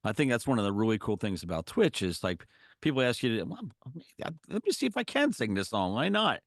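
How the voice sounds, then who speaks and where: A man's voice: sing-song, a little fast, no room sound, clean studio sound. A middle-aged man, a musician guest, chatting casually with lots of fillers and restarts. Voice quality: low singing voice. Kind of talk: casual interview chat.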